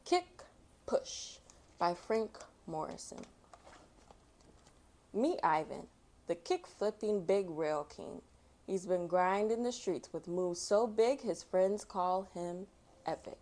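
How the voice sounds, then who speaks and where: A woman hosting a storytime, reading aloud for children in an expressive way and chatting casually between passages. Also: fairly low pitch, slow pace, pauses often. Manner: expressive, acts out sound effects and exclamations. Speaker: storytime host reading a picture book.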